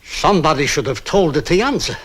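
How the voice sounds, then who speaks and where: An older actor playing an aging refugee man, grimly set on revenge, calm and quietly ironic. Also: on the high side, fast, husky, heavily acted. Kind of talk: 1960s TV crime drama acting.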